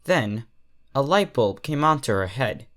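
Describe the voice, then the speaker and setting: A narrator reads a story at a slow, deliberate pace. The pitch moves a great deal, giving the scripted prose an animated, expressive shape. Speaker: fan fiction reader, narrator.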